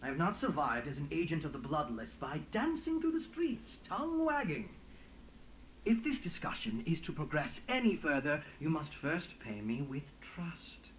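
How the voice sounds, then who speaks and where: A sly underworld bard for a fantasy video game. His delivery is florid and teasing, leaping about in pitch with theatrical flair. Faint noise sits behind the voice. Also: huge pitch swings, moderate pace, fairly bright tone, poor audio. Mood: smooth, mocking. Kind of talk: scripted video game acting.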